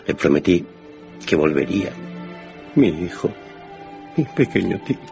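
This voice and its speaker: An actor playing a gentle, humble grieving father in a radio drama, speaking tenderly and haltingly. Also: sits low, gravelly. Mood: tender grief. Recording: muffled, faint music behind.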